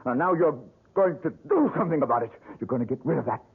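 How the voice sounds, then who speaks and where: A man's voice: a little high, lively intonation, quick pace, slightly rough, exaggerated voice. An actor playing an agitated, grief-stricken young man, urgent and accusatory, in old-time radio drama style. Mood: accusing, indignant. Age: young adult. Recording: dull lo-fi old radio recording.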